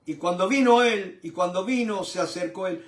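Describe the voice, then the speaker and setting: Older Argentine man preaching with high voice. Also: normal speed, roomy sound, recorded from a distance. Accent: Argentine Spanish. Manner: expressive, asks rhetorical questions, repeats "¿no es cierto?". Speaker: Bible teacher. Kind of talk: sermon-style Bible study.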